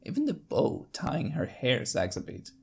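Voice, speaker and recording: A woman reading a story as narrator, with an expressive, dramatic storytelling delivery. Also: low-pitched, unhurried, vocal fry, slightly rough. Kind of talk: expressive scripted fan-fiction narration.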